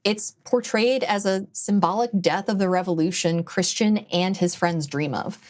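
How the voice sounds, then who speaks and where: A woman giving opinionated commentary in a conversational video essay style, with animated phrasing. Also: lowish voice.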